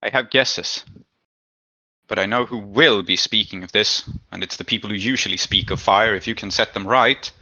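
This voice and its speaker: A man playing a wary prison guard who talks in measured riddles during a tabletop game. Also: fairly low pitch, bright, forceful tone, no echo, muffled recording, pitched below the performer's own voice, exaggerated voice. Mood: cryptic, grave. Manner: measured, cryptic.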